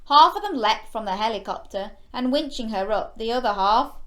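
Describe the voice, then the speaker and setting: A woman's voice: fairly high pitch, expressive, normal speed, a bit bright. A woman hosting a children's story time, reading a picture book expressively with exclamations and playful questions to young listeners. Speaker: children's storyteller and show host.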